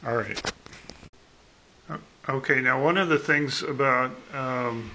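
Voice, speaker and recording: Male private seller, medium pitch, halting off-the-cuff demo delivery, camera-phone audio with noticeable noise. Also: bright, forceful tone, low-quality recording. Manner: halting, thinking aloud as he goes. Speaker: private seller demonstrating a vintage radio. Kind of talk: casual unscripted product demo.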